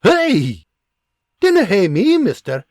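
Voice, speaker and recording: Man playing fiery farm mum, high and shrill, loud exclamations, dry close sound. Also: sing-song, measured pace, dry recording. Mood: scolding. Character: fiery Scottish farm mother, quick-tempered, loving. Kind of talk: audiobook character voice.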